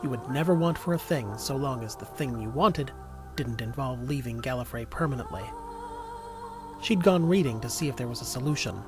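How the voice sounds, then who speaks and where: A male narrator reads a scripted story for an audio drama. His voice sits at an average pitch for a man. Music plays clearly behind him throughout. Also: normal speed. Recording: music under the voice.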